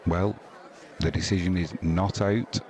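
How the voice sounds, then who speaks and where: A man's voice: sits low, fairly even intonation, unhurried. A man calling cricket action in short stock commentary phrases. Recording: loud crowd roar, heavy background noise. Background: loud stadium crowd.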